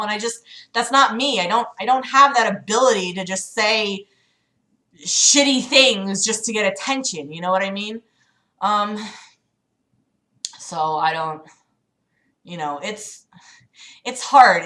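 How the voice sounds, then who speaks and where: A woman's voice: medium pitch, fairly bright tone. A woman chatting casually as a livestream host, rambling hesitantly in a self-deprecating way. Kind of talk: casual chat with livestream audience.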